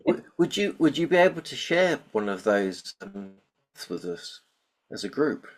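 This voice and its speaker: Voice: mid-range voice, sing-song, unhurried. A group participant asking a question in a hesitant, admiring way, stumbling over the words.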